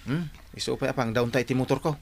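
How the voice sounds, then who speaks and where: A man's voice: mid-range voice, fast, close to an everyday voice. A man acting in an Ilocano radio drama as an idle husband who coaxes and pleads playfully. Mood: wheedling. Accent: Ilocano, northern Philippines. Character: idle, wheedling husband sweet-talking his hardworking wife.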